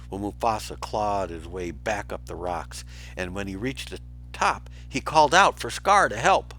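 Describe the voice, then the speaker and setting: A man narrating a children's story aloud in a dramatic, theatrical and expressive way. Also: on the low side, breathy edge. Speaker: storybook reader for schoolchildren.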